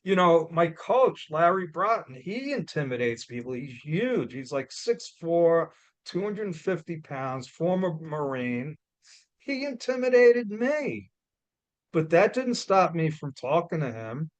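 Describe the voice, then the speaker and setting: A man with a New York accent giving a loud, blunt, emphatic motivational talk as a guest speaker. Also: on the high side, big pitch swings, takes it slowly, dry recording, highs cut off.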